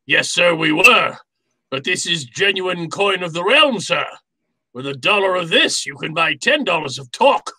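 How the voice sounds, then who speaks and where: An actor playing a jovial, cunning older crime boss in a radio drama, speaking with florid, formal politeness. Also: male voice, sits high, lively intonation, a little slow, sharp tone, breathy edge, no echo, full character voice, poor audio. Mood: sly, courteous. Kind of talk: old-time radio drama acting.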